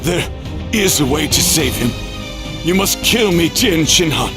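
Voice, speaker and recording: An actor playing a solemn, self-sacrificing old guardian deity in an animated game, pleading gravely and urgently. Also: male voice, fairly high pitch, measured pace. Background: music.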